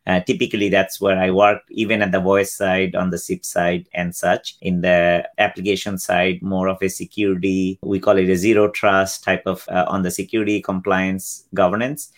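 Bangladeshi-born man, fifties, low voice, explanatory interview guest. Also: little pitch movement, moderate pace, hardly any pauses. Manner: explanatory, acts out quoted exchanges. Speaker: guest, cloud solutions architect. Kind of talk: interview answers.

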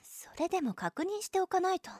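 A woman playing a high-voiced, blunt, possessive young nurse. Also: very animated, a little fast, a little mellow, no echo, clean studio sound. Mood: doting, protective. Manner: blunt boyish phrasing, doting toward the patient. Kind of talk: Japanese anime-style situation voice drama acting.

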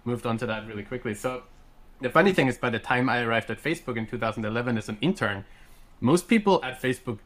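Excited Austrian man speaking English. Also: medium pitch, quick pace. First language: German. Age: 30s. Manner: excited, many fillers and restarts. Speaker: guest. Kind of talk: casual podcast interview.

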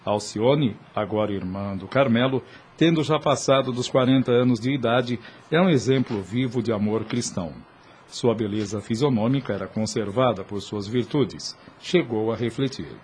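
A man narrating a radio drama in a measured, solemn way. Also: mid-range voice, darkish tone, slight background noise.

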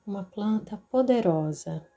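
A woman with a Brazilian accent narrating a guided meditation in a soothing, deliberate way, leaving long pauses. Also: medium pitch, expressive, creaky, warm tone. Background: background music.

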